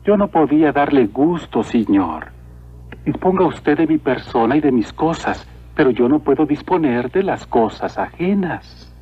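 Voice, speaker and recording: A man playing a humble, honest young villager in a cartoon, speaking earnestly and politely with gentle deference. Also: a little high, expressive, a little slow, breathy edge. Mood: apologetic, earnest. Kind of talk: dubbed cartoon acting. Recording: muffled lo-fi old cartoon soundtrack.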